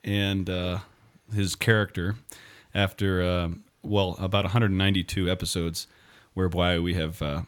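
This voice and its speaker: A man's voice: low-pitched, flat delivery, moderate pace. A man in his thirties hosting a podcast as a priest, bantering and teasing his guests in casual chat. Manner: joking, teasing. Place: studio, sharing a microphone.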